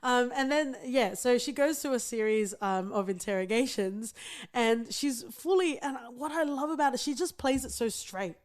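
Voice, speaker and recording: Woman podcast co-host, higher voice, chatty and laughing, casual. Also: lively intonation, moderate pace. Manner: chatty, laughs easily, swears. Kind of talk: casual chat.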